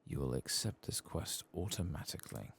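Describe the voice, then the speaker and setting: A man with a gravelly, husky voice talking softly as he hosts an ASMR video game playthrough, at times drifting into whispers. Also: extremely low pitch, expressive, normal speed, slight background noise. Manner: soft-spoken, murmuring, drifting into whispers. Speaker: host playing a video game for an ASMR channel. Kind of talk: soft-spoken ASMR game playthrough, reading quests aloud.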